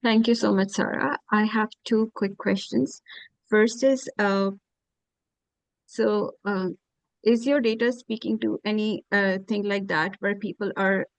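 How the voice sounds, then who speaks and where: A woman halting through two questions in a webinar, with many pauses, over a video call. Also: medium pitch, steady tone, dry recording, low-quality recording. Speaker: webinar audience member asking questions.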